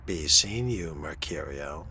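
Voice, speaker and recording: A man voicing a suave, menacing vampire in a video game roleplay, with a measured, sardonic, theatrical delivery. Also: very deep voice, lively intonation, gravelly, sharp tone, slight background noise, heavily acted. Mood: dry, polite. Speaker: player voicing the game's main character.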